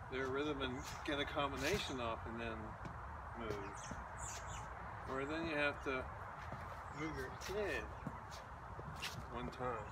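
Older male boxing coach, slow and plain, recorded at a distance outdoors. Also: mid-range voice. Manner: slow, plain-spoken, demonstrating moves while talking. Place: back garden outdoors. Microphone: far camera. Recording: distant outdoor mic with loud background noise.

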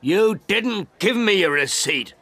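A man's voice: sits high, animated, unhurried, bright, forceful tone, pitched above the performer's own voice, heavily acted. A man voicing a stubborn old village farmer in a story, insistent and plain-spoken. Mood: angry, demanding.

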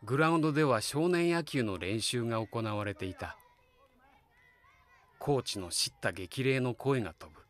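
A wistful, kindly middle-aged man played by an actor tells his story in a voice drama. His medium-pitched voice moves with lively inflection between reflective narration and hearty, coaxing talk. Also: moderate pace, lightly acted. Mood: wistful, gentle. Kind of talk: Japanese voice drama, narration and dialogue.

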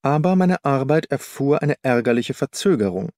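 A man reads a first-person detective story as an audiobook narrator. His mid-pitched voice is measured and articulate, recorded close and dry. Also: lively intonation, a little mellow, dry recording.

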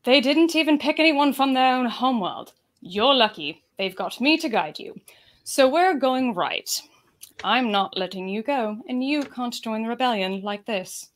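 A woman's voice: a little high, animated, measured pace. A woman playing a bored, scornful young woman adventurer, dismissive, in a comedic table read. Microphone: home video call.

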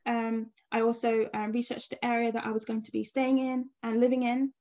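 A woman speaking as a guest on an online panel over a video call, pausing often with ums. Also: on the high side, flat delivery, a little mellow. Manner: hesitant, many ums.

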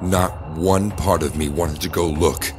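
A very low-pitched man narrates a scripted horror story in the first person. Prominent music plays behind his voice throughout. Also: steady tone, a little slow. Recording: music bed under the voice. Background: dramatic background music.

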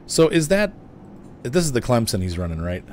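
A middle-aged man chatting casually on a game live stream, easygoing and quick to laugh, reading out viewer messages as he plays. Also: medium pitch, very animated, a little mellow, slight background noise. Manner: chatty, easygoing, laughs often, reads chat aloud.

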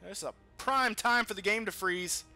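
Man, higher-pitched bright voice, casual gameplay commentary, salty language, noisy recording. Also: moderate pace, faint music behind. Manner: profane, animated trash-talk. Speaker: let's play host.

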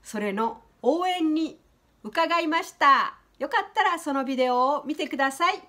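A woman, presenting a vlog politely and in an animated, sing-song way. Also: high-pitched, moderate pace. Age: 70s. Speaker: host, flower designer. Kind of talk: vlog narration to viewers.

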